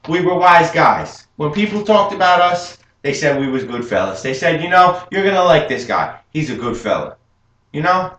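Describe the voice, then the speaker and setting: A young man playing an adult gangster who proudly recalls his youth, telling it earnestly and rising with excitement, as a memorized film monologue. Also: fairly high pitch, moderate pace, voice pushed up. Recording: roomy room with faint hum.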